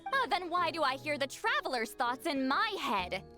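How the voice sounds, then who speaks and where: A woman's voice: high voice, big pitch swings, moderate pace, bright, forceful tone, faint music behind, heavily acted. A woman playing a proud, easily flustered reclusive shaman in a video game, haughty and prone to stammering. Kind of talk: English video game dub acting.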